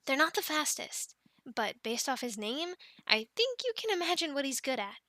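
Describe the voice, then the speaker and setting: A woman's voice: sits high, big pitch swings, normal speed, pushed and bright, dry recording, clean studio sound, exaggerated voice. A woman playing a friendly, encouraging dragon keeper in an ASMR roleplay, chatting playfully with the listener and cooing to animals like pets. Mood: chatty, helpful.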